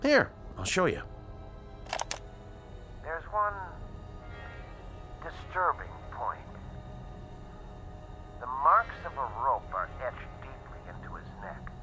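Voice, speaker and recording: An actor playing an educated, uneasy scientist in a radio drama, with precise, formal diction and dramatic pauses. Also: male voice, mid-range voice, big pitch swings, bright, forceful tone, soft background music, full character voice. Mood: explanatory. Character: educated, uneasy male scientist, inventor of a time machine. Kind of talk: radio drama acting, dialogue and dictated log narration.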